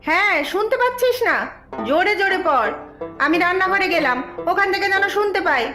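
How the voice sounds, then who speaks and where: Scolding cartoon mother, woman actor: extremely high, animated pitch, commanding and nagging, echoey room. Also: brisk. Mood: stern. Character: strict, worried scolding mother of a young boy. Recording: echoey, music behind.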